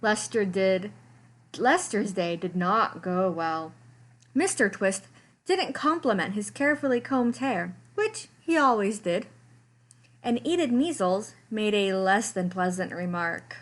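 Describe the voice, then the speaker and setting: A woman's voice: mid-range voice, expressive, unhurried. Woman reading a picture book expressively. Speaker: librarian reading storytime.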